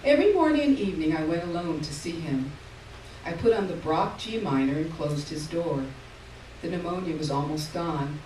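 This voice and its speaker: Lively woman reader in a roomy hall. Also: low voice. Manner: measured storytelling, lively in the dialogue. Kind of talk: live literary reading. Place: stage at a live reading event. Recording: roomy hall, distant mic, faint room noise.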